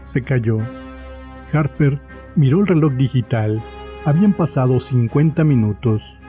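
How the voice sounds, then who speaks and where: A man's voice: mid-range voice, expressive, a little slow, warm tone. A man narrating a scripted horror story as the storyteller. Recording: faint music behind the voice.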